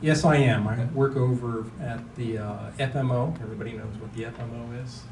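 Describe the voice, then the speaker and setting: A man's voice: mid-range voice, fairly even intonation, fairly quick, darkish tone. Chatty man in his fifties. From Maryland, United States. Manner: chatty, self-deprecating. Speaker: class member. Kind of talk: casual conversation. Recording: echoey room, distant mic, noise.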